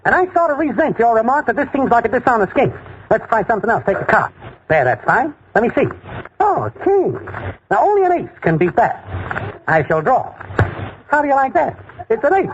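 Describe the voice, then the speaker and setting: A man's voice: very high-pitched, sing-song, fairly quick. An actor playing a cheerfully shifty small-time con man with glib, streetwise patter in a 1940s radio comedy. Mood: smooth, smug. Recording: noisy, muffled 1940s radio recording.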